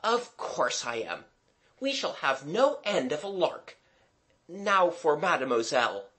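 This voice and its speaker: A narrator voicing a gentlemanly, light-hearted boy with a honeyed, reassuring manner in a storybook. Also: mid-range voice, very animated, unhurried, voice pushed up. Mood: breezy, reassuring. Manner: honeyed, explaining.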